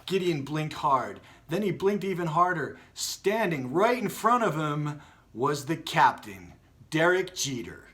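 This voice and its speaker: A man hosting a children's read-aloud, moving between expressive storytelling and casual chat. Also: a little high, unhurried. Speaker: story reader and host.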